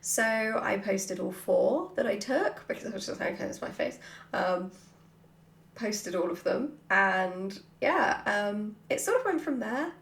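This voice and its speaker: A woman vlogger answering questions off the cuff in an animated, informal, jokey way. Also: medium pitch, a little slow, creaky. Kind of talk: casual chatty Q&A, off the cuff. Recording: roomy, echoey sound.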